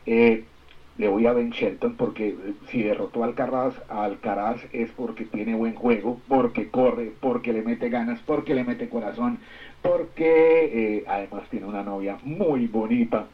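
A man with a Colombian accent co-hosting a radio show in casual sports chat, halting and repeating himself as he searches for words. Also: medium pitch, highs cut off.

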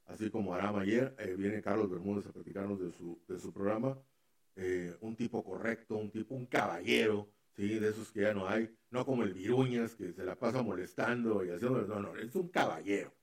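A man's voice: lowish voice, darkish tone. A man hosting a casual radio chat, rambling with many fillers and restarts. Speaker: radio show host.

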